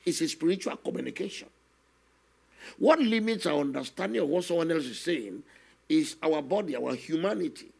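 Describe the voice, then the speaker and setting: An older man, a guest minister telling his testimony slowly and earnestly in a studio interview, often adding "you know". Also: fairly high pitch, animated, a little mellow. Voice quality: gravelly, husky. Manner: slow, earnest storytelling with many "you know" asides. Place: television studio, seated on a set. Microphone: stand microphone in front of him.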